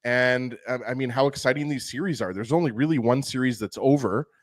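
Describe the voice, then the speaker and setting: A man's voice: medium pitch, a little fast. A man co-hosting a casual hockey talk show, enthusiastic and often checking in with "right?". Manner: enthusiastic, keeps asking "right?". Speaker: podcast co-host.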